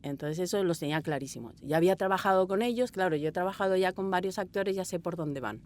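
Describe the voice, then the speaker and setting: A woman from Spain speaking as a director in an interview, expressive and rambling, telling things informally in a sound booth. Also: on the low side, fast. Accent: Spanish (Spain). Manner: expressive, rambling, acts out quoted dialogue. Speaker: audio drama director being interviewed. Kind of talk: informal, anecdotal interview answers.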